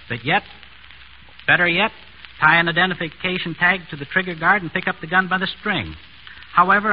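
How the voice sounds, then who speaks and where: A middle-aged man giving formal, scripted answers as an expert guest in a vintage radio interview. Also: a little high. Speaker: physician criminologist, interview guest. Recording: dull, noisy vintage radio recording.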